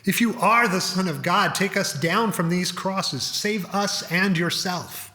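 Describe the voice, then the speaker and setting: Man acting a high, bitter dying thief. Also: measured pace, pushed and bright, long reverb tail. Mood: desperate, taunting. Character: bitter, drugged, half-drunk dying thief.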